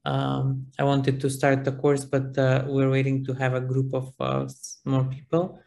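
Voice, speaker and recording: A man whose first language is Macedonian speaks in English during an online class discussion, pausing often and searching for words. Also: mid-range voice, completely flat delivery, creaky, darkish tone. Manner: hesitant, searching for words, many fillers.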